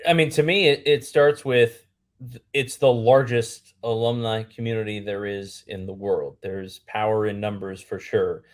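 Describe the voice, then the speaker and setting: A man from Pennsylvania with a lowish voice speaks as a podcast guest. His delivery is earnest and conversational, building up lists and returning to key phrases. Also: fairly even intonation, unhurried. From Pennsylvania, United States. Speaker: public address announcer and podcast guest. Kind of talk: conversational interview answer.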